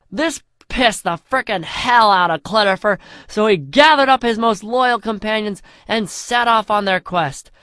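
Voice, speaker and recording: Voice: mid-range voice, a bit bright, no echo. A storyteller with a mid-range voice reads a comic fantasy tale as if it were a grand epic. The delivery is mock-solemn, and the sound is close and dry.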